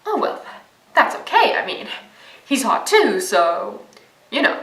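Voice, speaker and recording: A woman's voice: on the high side, very animated, takes it slowly, fairly bright tone, roomy sound, recorded from a distance, poor audio. A young woman narrating a video essay in a casual, sarcastic and profane way.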